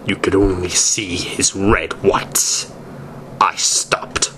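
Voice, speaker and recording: Bright-voiced man reading a story aloud. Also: low-pitched, little pitch movement, slow, a little hiss or hum. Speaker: narrator reading fan fiction aloud.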